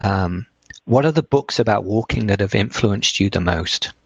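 A man interviewing a guest, asking measured questions with light fillers. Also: fairly low pitch, creaky, husky, dry recording. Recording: dry, dull lo-fi sound.

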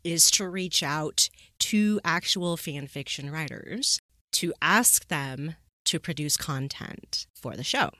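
A woman's voice: low voice, lively intonation, takes it slowly, sharp tone, dry recording, clean studio sound. A woman hosting a podcast in a conversational, emphatic way, often checking in with rhetorical questions. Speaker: podcast host.

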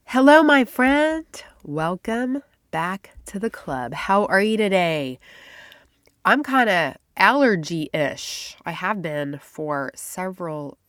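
A woman's voice: lowish voice, expressive, slow, fairly bright tone, no echo, clean studio sound. A woman hosting a faith-based health podcast, talking in a chatty, confiding way with a stuffy, congested nose. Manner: chatty, confiding, laughs often. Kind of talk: casual podcast monologue.

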